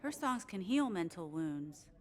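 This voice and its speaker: A woman playing an easygoing, worldly local in a video game, unhurried and composed in manner. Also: lowish voice, sing-song, darkish tone.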